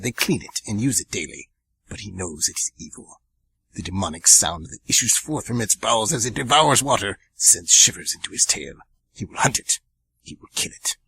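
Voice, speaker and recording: Man, radio drama narrator, husky, forceful, dramatic ominous delivery. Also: lowish voice, poor audio.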